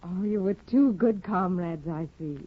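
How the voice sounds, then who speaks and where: A woman's voice: fairly low pitch, unhurried, slightly rough. A woman playing a proud, bored aristocratic young wife in a 1950s radio drama, cool and mocking. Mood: teasing. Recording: tinny old radio broadcast.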